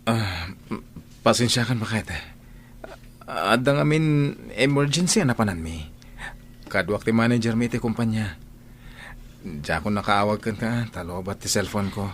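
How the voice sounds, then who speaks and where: A man's voice: on the low side, lively intonation, measured pace, pauses often, a little hiss or hum. A man playing a guilty, apologetic married man in an audio drama, speaking hesitantly. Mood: evasive.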